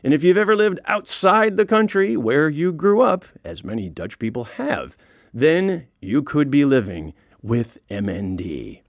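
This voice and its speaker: A man's voice: a little high, very animated, unhurried, no echo, clean studio sound. A man with an American accent reading scripted comic narration as the author of an audiobook, wry and theatrical in his storytelling. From United States. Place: recording studio.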